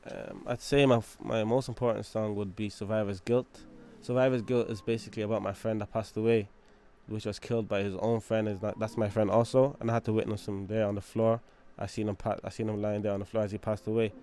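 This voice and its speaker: Fast-talking young man. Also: lowish voice, little pitch movement, mellow. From Angola. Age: 20s. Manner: loose and chatty, leaning on "you know what I mean" and "obviously". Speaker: rapper telling his story. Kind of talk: casual documentary talk.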